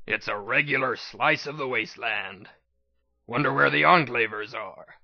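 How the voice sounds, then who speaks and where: A man's voice: normal speed, gravelly, sharp tone, no room sound, highs cut off, full character voice. A man voicing a casual, sardonic and suspicious male pony companion in a dramatic audiobook reading. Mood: wry. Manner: dry, casual, low-key.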